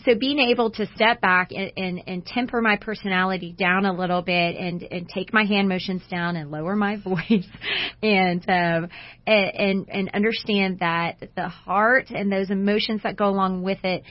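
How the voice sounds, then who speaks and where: A dry, slightly dull recording of a woman with a loud, strong voice at an average pitch. She speaks as a coach and co-host on an inspirational talk show. Her delivery is conversational and passionate. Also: steady tone, moderate pace, dry recording. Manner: passionate, big personality.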